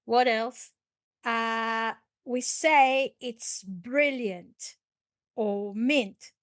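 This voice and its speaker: A woman hosting a casual, conversational language lesson as the teacher, expressive and often prompting and repeating words. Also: fairly high pitch, very slow, no room sound, clean studio sound. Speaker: English teacher and podcast host.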